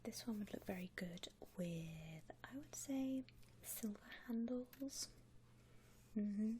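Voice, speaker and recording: A woman whispering breathily in an ASMR roleplay as a polite shop assistant, gently reassuring a customer with hesitant, accommodating patter. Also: medium pitch, animated, slow, a little hiss or hum. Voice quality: breathy, mostly unvoiced whisper. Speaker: ASMR roleplayer playing a coffin shop saleswoman.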